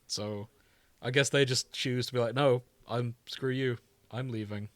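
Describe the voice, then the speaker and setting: A man's voice: mid-range voice, steady tone, measured pace, no room sound. A man co-hosting a casual comedic podcast, with a wry, bantering and sometimes sweary manner. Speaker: podcast co-host.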